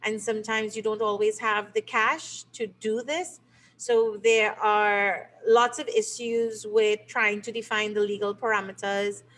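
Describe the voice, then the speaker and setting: A woman's voice: mid-range voice, flat delivery, a little slow, fairly bright tone. A middle-aged woman from Trinidad and Tobago, a teacher and game creator, explaining her work in an interview. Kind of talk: interview answers.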